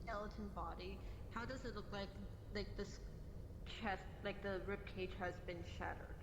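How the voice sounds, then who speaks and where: A woman chatting as a player in an online role-play, explaining things in a rambling, halting way. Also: medium pitch, fairly even intonation. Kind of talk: casual chat during role-play. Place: online VRChat voice session. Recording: reverberant echoey audio with background noise.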